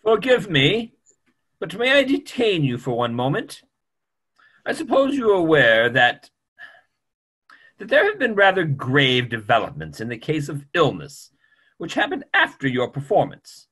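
Man playing a careworn doctor with husky, swooping speech. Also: on the high side, huge pitch swings, a bit bright, full character voice. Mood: grave, careful. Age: middle-aged. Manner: measured, formal, hesitant phrasing. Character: careworn, formal, evasive male family doctor. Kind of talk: online play reading. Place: small room at home, video call.

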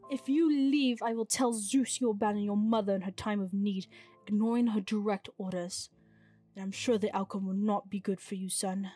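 A woman playing a commanding, scheming goddess mother with a measured, imperious delivery in a fantasy game roleplay. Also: medium pitch, fairly even intonation, dark tone, faint music behind, natural voice. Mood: stern, commanding.

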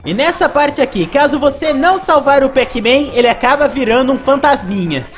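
A man's voice: very high voice, expressive, normal speed, faint music behind. A young man hosting a video game review, reading scripted gameplay narration.

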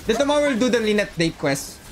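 A game streamer giving casual, excitable live commentary, often repeating short phrases. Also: mid-range voice, fast, faint music behind. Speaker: livestreamer playing a game.

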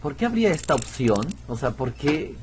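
A man's voice: mid-range voice, very animated, normal speed, a little mellow, a little hiss or hum. A man giving casual let's play commentary, musing aloud in a chatty, self-deprecating way. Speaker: gameplay streamer.

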